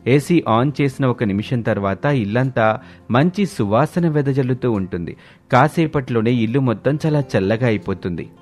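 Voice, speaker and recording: A man narrating a family story in an even storytelling tone, with soft music behind. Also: mid-range voice, normal speed.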